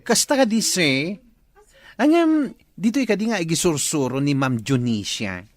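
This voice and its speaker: A woman's voice: on the low side, sing-song, moderate pace, dry recording. A woman with an Ilocano accent playing a brash, combative pork seller out collecting debts in a comedy drama. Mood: insistent.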